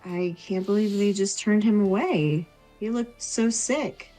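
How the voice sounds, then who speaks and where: A woman's voice: mid-range voice, a little slow, mellow, soft background music, close to an everyday voice, low-quality recording. A woman playing a sheltered, cautious village woman, hesitant and worried, in a tabletop game. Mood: dismayed.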